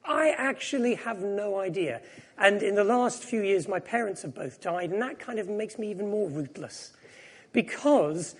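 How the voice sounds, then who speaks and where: Husky, high-voiced man preaching. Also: animated, normal speed. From Japan. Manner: reflective, self-deprecating. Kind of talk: personal sermon.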